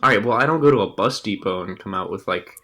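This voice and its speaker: A man co-hosting a casual podcast, playful and bantering, quick to laugh. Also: on the low side, background noise. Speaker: podcast co-host. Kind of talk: casual chat.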